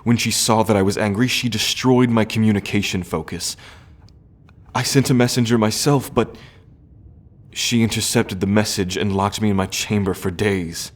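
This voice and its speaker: A man playing an earnest, sorrowful young prince in a fantasy audio roleplay, speaking gently and formally with weighty pauses. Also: lowish voice, close to an everyday voice. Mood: earnest, frustrated.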